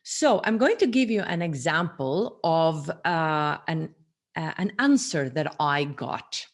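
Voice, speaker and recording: Woman in her 40s doing a chatty teaching vlog to cam, bit low-pitched, earnest, talks w her hands, small room at home. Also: expressive, slow. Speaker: vlogger. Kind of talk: personal teaching monologue to camera.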